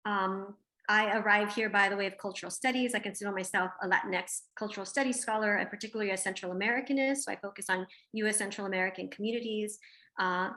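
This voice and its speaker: A woman speaks with a bright, crisp tone as she introduces her scholarly work over a video call. Her delivery is measured and academic. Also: mid-range voice. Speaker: panelist, scholar. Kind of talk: panel self-introduction.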